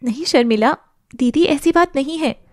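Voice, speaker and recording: A woman voicing a kind, gentle young wife who speaks politely in an animated family drama. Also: sits high, normal speed, no echo, studio quality, natural voice.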